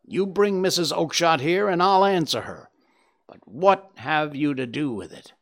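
A man voicing a surly, impatient market stall salesman in an audiobook story, blustering and shouting. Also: on the low side, expressive, takes it slowly, exaggerated voice. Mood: angry, blustering.

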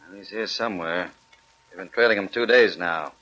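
Casting: an authoritative American lawman, fairly deep, brisk and watchful, 1940s radio drama on a muffled old recording. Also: bright, forceful tone. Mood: businesslike. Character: shrewd, commanding male sheriff leading a manhunt. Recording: dull, faintly noisy old radio recording.

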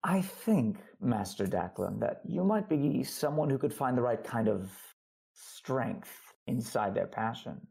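An actor playing a calm, earnest male alien officer who hopes to be a Jedi, with a husky, intimate, measured voice in an audio drama. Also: mid-range voice, full character voice. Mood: admiring, tender.

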